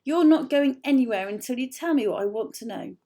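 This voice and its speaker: A woman's voice: high-pitched, lively intonation, brisk, mellow. A woman voicing a brave young girl who speaks earnestly and firmly. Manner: earnest, firm.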